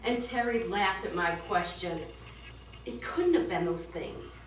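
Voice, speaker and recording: A woman's voice: mid-range voice, unhurried, close to an everyday voice. A woman playing a defensive wife who reasons with and chides her husband in an amateur stage play. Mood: placating. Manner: reasoning, mildly chiding, defensive. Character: defensive, placating wife, a wedding guest. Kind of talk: amateur one-act stage play acting. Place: indoor theatre studio, heard from afar. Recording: roomy distant stage recording, clear noise.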